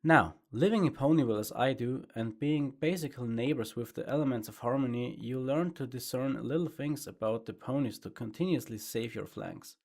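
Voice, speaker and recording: A man reading fan fiction aloud as a first-person narrator. His reading is relaxed and conversational, with some mild swearing. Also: mid-range voice. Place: home room with sound foam. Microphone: improvised home mic with pop screen.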